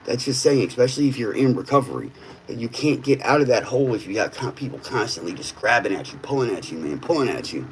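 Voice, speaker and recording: A man's voice: medium pitch, expressive, quick pace, a little hiss or hum, poor audio. A man in his thirties talking bluntly in casual streetwise slang on a livestream. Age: 30s. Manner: blunt, streetwise, peppered with "bro".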